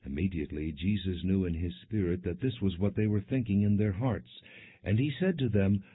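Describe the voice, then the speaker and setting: A man reading scripture as an audiobook narrator, in a solemn, measured storytelling delivery with expressive quoted speech. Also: sits low, breathy edge. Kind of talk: solemn scripted Bible reading, non-dramatized. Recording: muffled, phone-like narrow band.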